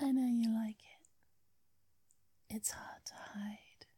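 A woman with an English accent speaking in a breathy whisper, sultry and teasing, in an intimate ASMR roleplay style. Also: a little high, warm tone, no room sound, clean studio sound.

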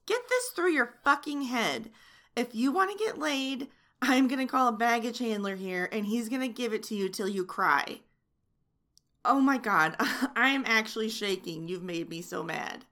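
A young woman playing a sharp-tongued, domineering flight attendant who mocks and taunts. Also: medium pitch, animated, normal speed, hardly any pauses. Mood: furious. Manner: mocking, teasing taunts. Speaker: voice actor in an audio roleplay. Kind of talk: adult audio roleplay.

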